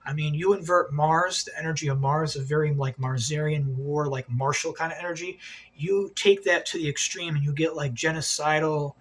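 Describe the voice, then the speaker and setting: Agitated, husky man ranting. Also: on the high side, steady tone, moderate pace. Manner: agitated, swears often, repeats words. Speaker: occult video host. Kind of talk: rambling monologue to camera.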